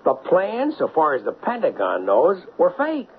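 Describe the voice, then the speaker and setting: Hard-nosed police sergeant, radio play; urgent and blunt, muffled noisy broadcast sound. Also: male voice, on the high side, huge pitch swings, unhurried. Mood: puzzled, tense. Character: hard-nosed, impulsive, harried male police detective sergeant. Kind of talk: radio drama acting.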